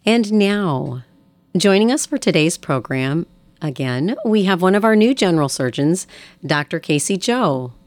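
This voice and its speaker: A woman's voice: on the low side, lively intonation, a little slow, dry recording. An American woman hosting a community radio program, reading announcements in an upbeat, welcoming manner. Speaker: radio host.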